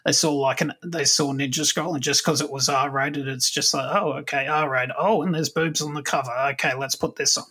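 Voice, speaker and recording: A man in his late thirties with a bright, forward voice hosts a casual podcast. He talks in a conversational way, often stopping and restarting as he looks for the right word. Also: on the high side, steady tone, moderate pace, hardly any pauses, breathy edge. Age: late 30s. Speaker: podcast host. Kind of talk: casual conversation about films and comics.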